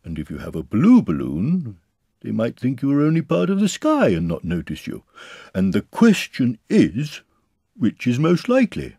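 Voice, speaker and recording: A man's voice: medium pitch, wildly swooping pitch, normal speed, vocal fry, breathy edge, no echo, clean studio sound, voice pushed up, exaggerated voice. A man voicing an earnest, scheming, slightly anxious toy bear in a children's storybook, with a ponderous, solemn manner. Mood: pondering, confiding. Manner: ponderous, solemn, calling out loudly. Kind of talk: children's storybook character reading.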